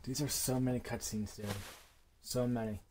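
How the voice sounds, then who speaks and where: A man's voice: medium pitch, steady tone, normal speed, background noise. A man giving casual gameplay commentary in a slangy, offhand way, often in muttered asides. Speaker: gamer recording a playthrough. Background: loud video game music.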